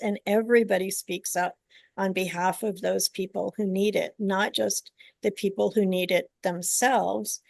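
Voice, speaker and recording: Gravelly older Canadian woman speaking earnestly. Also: mid-range voice, darkish tone, dry recording. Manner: earnest, emphatic advocacy. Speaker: panelist, genetics teacher. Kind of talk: panel discussion remarks.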